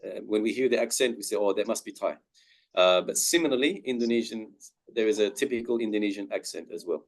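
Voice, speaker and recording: A man from Australia with an Australian accent, giving a careful, clear talk as a webinar guest speaker. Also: on the low side. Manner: slow, careful, clear explanation.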